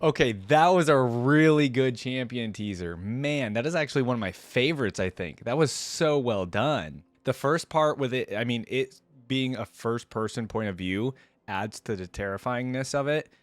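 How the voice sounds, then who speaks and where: A man's voice: medium pitch, animated, moderate pace, dry recording. A man hosting a reaction video, giving casual, enthusiastic commentary with rambling asides.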